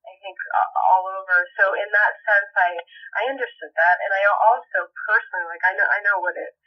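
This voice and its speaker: A woman from Puerto Rico, an actress guest, talking thoughtfully with many halting restarts in a podcast interview. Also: medium pitch, steady tone. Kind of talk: podcast interview over the phone. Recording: muffled phone line, far from the mic.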